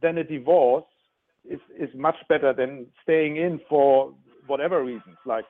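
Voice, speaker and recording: A man's voice: on the high side, unhurried. A man in his sixties with a German accent, a relationship expert explaining his ideas in an interview in an earnest manner, often restarting his words. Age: 60s. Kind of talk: interview answers. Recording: phone-like narrow sound.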